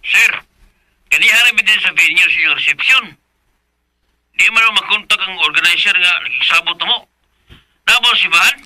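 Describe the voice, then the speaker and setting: A man with a Filipino accent playing an insistent caterer heard through a phone loudspeaker in a radio drama. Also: high-pitched, normal speed, pauses often, no echo, low-quality recording. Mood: insistent, put out. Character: insistent male caterer complaining over the phone. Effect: telephone loudspeaker filter.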